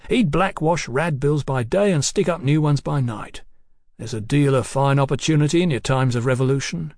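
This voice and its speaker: A man's voice: medium pitch, a little mellow, no room sound, clean studio sound, natural voice. A man voicing an easy-going, talkative working-class bill-sticking boss in an audiobook, with folksy, colloquial phrasing. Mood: proud, placid. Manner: folksy, unhurried, colloquial, garrulous.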